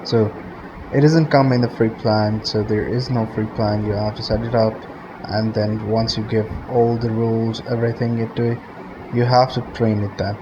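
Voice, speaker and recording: A man hosting a step-by-step software tutorial, narrating instructions to viewers. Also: fairly low pitch, level tone, normal speed. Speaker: tutorial host. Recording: muffled lo-fi audio with clear background noise.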